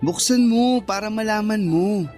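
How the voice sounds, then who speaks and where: An actor playing a sweet, devoted young boyfriend in a Tagalog radio romance, earnest and affectionate. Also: male voice, sits high, normal speed, a little mellow, close to an everyday voice. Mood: loving. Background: faint music.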